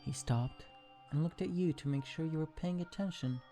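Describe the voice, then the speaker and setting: Mellow fan fiction narrator. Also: medium pitch, moderate pace, soft background music.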